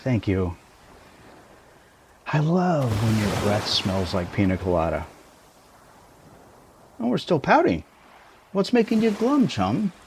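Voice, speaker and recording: A man's voice: on the low side, wildly swooping pitch, takes it slowly, pauses often, darkish tone, a little hiss or hum, full character voice. A man playing a tiny shrunken boyfriend in an intimate ASMR roleplay, playful, teasing and doting, with theatrical exclamations.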